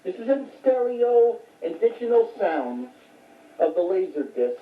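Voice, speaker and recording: A woman's voice: medium pitch, very animated, measured pace, a little hiss or hum. A woman giving a casual, unscripted show-and-tell of a home collection in a rambling, matter-of-fact way. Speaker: home video collector showing recent finds. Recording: tinny phone-like audio, echoey, distant mic.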